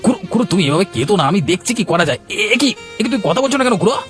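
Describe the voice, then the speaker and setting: A man's voice: high-pitched, very animated, racing, fairly bright tone, faint music behind. A man voicing an earnest, loyal village uncle in a cartoon, urgent and pleading in his way of talking. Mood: alarmed, urgent. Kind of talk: cartoon voice acting.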